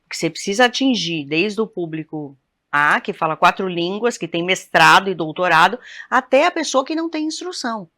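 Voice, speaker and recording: A woman's voice: mid-range voice, expressive, fairly quick, pushed and bright. Brazilian woman chatting on a talk show panel, emphatic, keeps turning to the group. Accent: Brazilian Portuguese. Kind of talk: casual studio talk show chat.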